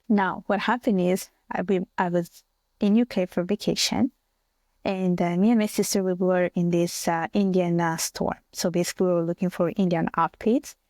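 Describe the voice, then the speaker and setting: A woman's voice: lowish voice, darkish tone, no room sound. A woman from Italy with an Italian accent, a native Bengali and Italian speaker, telling personal stories as a podcast guest. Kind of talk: conversational personal storytelling.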